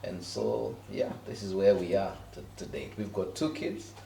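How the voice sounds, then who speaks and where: A man's voice: low voice, sing-song, moderate pace, vocal fry, mellow, echoey room, far from the mic, slight background noise, rough recording. A Kenyan pastor in his fifties, easygoing and chatty, talking about his life in a house interview. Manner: easygoing, laughs often, many fillers. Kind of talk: relaxed interview answers. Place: living room in a house.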